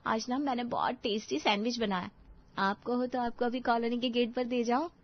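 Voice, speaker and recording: A woman's voice: on the high side, fairly even intonation, moderate pace, highs cut off. A woman voicing a young, eager new bride, polite and chatty in a dramatized family story. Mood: eager, playful.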